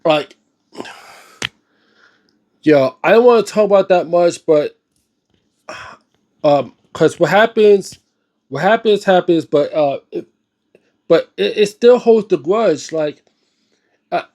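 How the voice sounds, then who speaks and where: High-pitched male vlogger, halting and repetitive, earnest casual commentary with slangy emphasis. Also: expressive, darkish tone. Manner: halting, repetitive, searching for words. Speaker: host of a book reading and discussion series. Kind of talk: unscripted casual video commentary.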